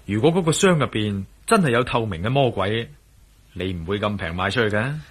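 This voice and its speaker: A man playing an earnest, fair-minded adventurer in a radio drama, probing and gently admonishing. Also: fairly low pitch, expressive, fairly quick, slightly rough. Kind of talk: Cantonese radio drama acting.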